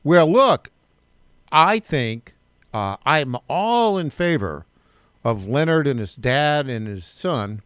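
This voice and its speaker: A man's voice: a little high, very animated, takes it slowly, a bit bright, smooth, no room sound, studio quality. Male talk radio host asking questions. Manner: probing questions, stumbling restarts. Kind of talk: radio interview.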